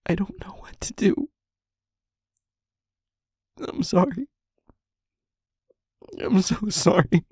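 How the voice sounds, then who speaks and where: A man playing a tormented, remorseful demon in love, in an intimate audio roleplay, speaking haltingly in a breathy, husky, often whispered voice and pleading. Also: high voice, lively intonation, darkish tone, dry recording, studio quality, heavily acted. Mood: anguished, guilty. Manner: slow, halting, emotionally pleading.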